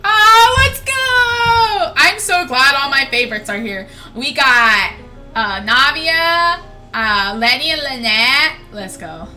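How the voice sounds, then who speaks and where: Young female game streamer, high bright voice, gushing and rambling, music underneath. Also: expressive, takes it slowly. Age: young adult. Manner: gushing, rambling, repeats herself. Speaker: streamer, VTuber. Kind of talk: casual game livestream chat. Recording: music behind the voice.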